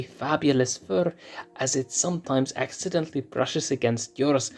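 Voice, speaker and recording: A man doing a sly high-voiced lion cub. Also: gravelly, darkish tone. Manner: sly, teasing. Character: sly, teasing young male lion cub.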